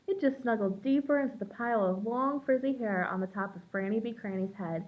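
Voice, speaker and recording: High-voiced woman reading to young children. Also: brisk. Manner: expressive, storytelling for young children. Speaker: preschool teacher reading aloud. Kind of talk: children's picture book read-aloud. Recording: dull lo-fi sound.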